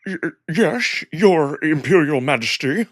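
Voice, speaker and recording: A man voicing a timid elderly wolf doctor who stammers and pleads with a husky voice in a visual novel. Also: fairly high pitch, lively intonation, measured pace, dry recording, voice pushed up. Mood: nervous, deferential.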